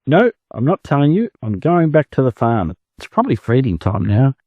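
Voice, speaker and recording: A man's voice: medium pitch, very animated, normal speed, no room sound, narrow, tinny audio, voice pushed up. A man with an Australian accent voicing a boastful, snappish male farm chicken in a storybook, bragging and curt. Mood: angry, snappish.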